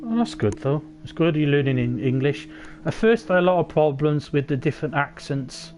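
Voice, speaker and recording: A man streaming a video game, talking to the characters in loud, sweary, rambling banter. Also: on the high side, brisk, soft background music. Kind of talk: game livestream commentary.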